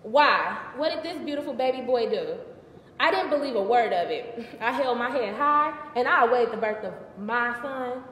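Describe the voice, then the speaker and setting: A woman's voice: fairly high pitch, animated, normal speed, a bit bright. A young woman performing a live theatrical monologue as an indignant, wry biblical queen, conversational and emphatic. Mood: mournful, bitter. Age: early 20s. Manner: conversational, sassy, emphatic, exasperated. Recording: distant mic, echoey hall, faint noise.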